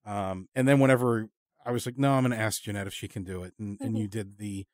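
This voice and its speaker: A man hosting a casual interview, speaking in an earnest, rambling and halting way. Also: fairly low pitch, steady tone, dry recording, clean studio sound. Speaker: podcast host and interviewer.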